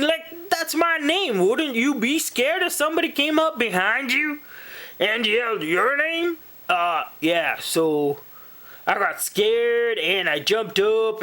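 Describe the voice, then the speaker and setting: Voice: mid-range voice, bright, forceful tone. A person voicing a friendly, dim, rambling male storybook moose with a hesitant, folksy manner. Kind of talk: in-character picture book retelling to children.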